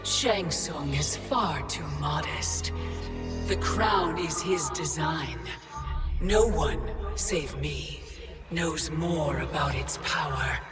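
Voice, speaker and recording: A woman voicing a cold ancient female keeper of time, speaking in a slow, measured, imperious way in a video game cutscene. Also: low-pitched, loud music behind the voice. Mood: taunting. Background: loud orchestral score.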